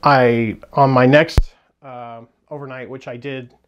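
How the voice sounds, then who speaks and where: A man's voice: mid-range voice, fairly even intonation, takes it slowly, muffled recording. A man hosting a hiking channel, reviewing a sleeping bag in a loose, rambling way with many restarts and fillers. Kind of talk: casual gear review.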